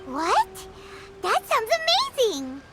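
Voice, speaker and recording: A woman voicing a tiny, excitable, childlike fairy companion in a video game, squeaky and blunt. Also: takes it slowly, over loud music, full character voice. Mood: curious, amazed. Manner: childlike, refers to self in third person.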